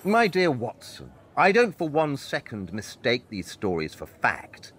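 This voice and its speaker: A precise, animated pedantic detective. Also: male voice, medium pitch, exaggerated voice. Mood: assured, dismissive. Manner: precise, didactic, emphatic. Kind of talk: scripted audio drama dialogue.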